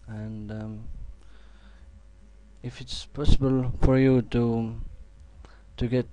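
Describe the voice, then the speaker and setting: Slow, hesitant man with Estonian accent. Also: mid-range voice, flat delivery, warm tone. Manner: halting, hesitant, many fillers and restarts. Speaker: programming tutor. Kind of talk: screen-recorded coding tutorial. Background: keyboard typing and clicks.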